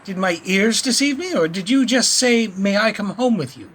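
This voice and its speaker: High, bright-voiced plucky gentleman, acted by a man. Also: lively intonation, moderate pace, breathy edge, exaggerated voice. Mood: amused, curious. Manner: courteous, earnest, wry. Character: polite, plucky Canadian gentleman hero, newly arrived in London. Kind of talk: audio play acting.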